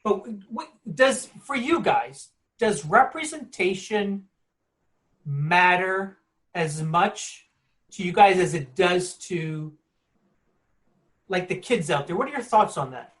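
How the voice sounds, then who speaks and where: Fairly high-voiced male podcast interviewer. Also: lively intonation, takes it slowly, pauses often, fairly bright tone. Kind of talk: casual interview.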